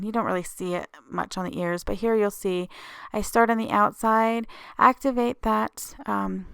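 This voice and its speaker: A woman's voice: mid-range voice, gravelly, smooth, dry recording. A woman with a slightly scratchy voice hosts a casual craft tutorial voice-over, explaining each step informally.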